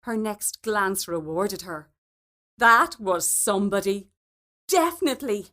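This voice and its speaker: A woman reading a short story as scripted narration, expressive in her delivery. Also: medium pitch, unhurried. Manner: expressive, acts out dialogue.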